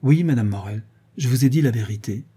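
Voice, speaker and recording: Timid servant woman, read by a man, hesitant and soft, dark husky tone. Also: medium pitch, a little fast. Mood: subdued. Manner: hesitant, subdued, answers briefly.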